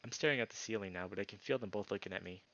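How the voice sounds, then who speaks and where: A man narrating a visual novel aloud as a streamer, with informal, expressive asides. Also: fairly low pitch, very fast, highs cut off.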